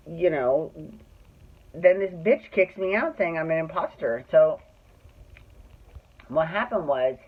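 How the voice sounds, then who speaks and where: A middle-aged person with a Californian accent telling personal stories casually, in a catty, dramatic and sweary way. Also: mid-range voice. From Glendale, California, United States. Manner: catty, dramatic, profane, acts out dialogue. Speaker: storyteller host of own show. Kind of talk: casual personal storytelling to camera. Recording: muffled phone-like narrow-band audio.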